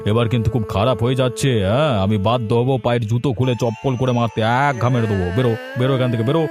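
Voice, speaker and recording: A man's voice: medium pitch, fairly even intonation, very fast, music in the background, close to an everyday voice. Man playing a harsh, suspicious village headman. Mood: threatening. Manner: dismissive, threatening. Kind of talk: Bengali fairy-tale cartoon dubbing.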